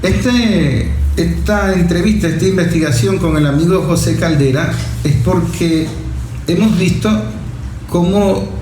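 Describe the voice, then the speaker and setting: A man's voice: sits high, measured pace, darkish tone. A Venezuelan man hosting a political talk program, speaking in a didactic, emphatic way. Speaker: program host. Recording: very echoey room, faint noise.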